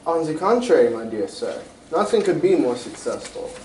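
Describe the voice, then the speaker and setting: A man's voice: on the high side, sing-song, normal speed, warm tone. A man playing a grandiose, formal king in a radio drama read on stage. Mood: grateful, grand. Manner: pompous, formal. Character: anxious, grandiose Victorian-era male king. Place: community theatre stage reading. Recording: echoey hall, distant mic, faint noise.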